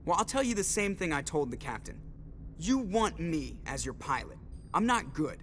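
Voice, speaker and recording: A man's voice: fairly high pitch, big pitch swings, moderate pace, a bit bright, slight background noise. A man acting a cocky, wisecracking starship pilot in a science fiction video game, casual and boastful.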